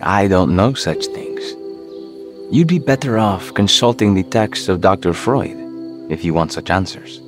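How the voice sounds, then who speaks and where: An actor playing a serene, enigmatic author who serves as a guide in a video game, speaking in a measured, formal, philosophical way. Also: male voice, low voice, expressive, music in the background. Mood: grave, helpful. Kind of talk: cinematic game dialogue.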